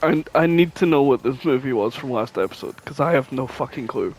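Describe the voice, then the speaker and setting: A man's voice: medium pitch, lively intonation, brisk, a little mellow, poor audio. A man co-hosting a podcast in casual chat, exclaiming and swearing freely. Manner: foul-mouthed, exclamatory. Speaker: podcast co-host.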